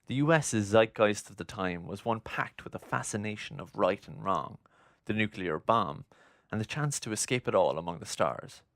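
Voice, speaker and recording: A man narrating a scripted documentary in a measured, essay-like delivery. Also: fairly low pitch, lively intonation, vocal fry, fairly bright tone, no echo, studio quality.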